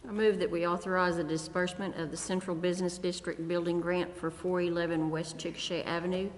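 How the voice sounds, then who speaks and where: A woman with a fairly low voice makes formal motions as a council member. She is in a reverberant chamber with faint noise behind her. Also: level tone, fast. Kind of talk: formal motions and short votes.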